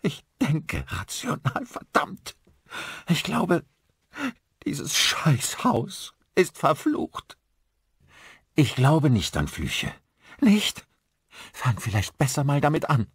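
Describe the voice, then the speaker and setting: A man's voice: on the high side, huge pitch swings, slow, long pauses, breathy edge, no room sound, clean studio sound, voice pushed up, exaggerated voice. A man voicing a frightened, sarcastic, shaken wife in an audiobook, emotional and emphatic. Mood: agitated, cursing. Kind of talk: dramatised audiobook dialogue.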